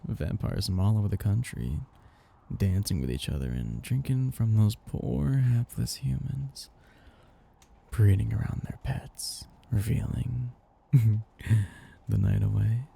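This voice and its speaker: A very dark, low-pitched vampire master, acted out by a man for intimate ASMR roleplay. He speaks slowly and breathily, with teasing, condescending affection and a possessive, aristocratic air that swings between murmurs and fuller tones. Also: vocal fry, slightly rough, exaggerated voice. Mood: smug, anticipating. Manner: slow, teasing, condescendingly affectionate, with whispers and chuckles.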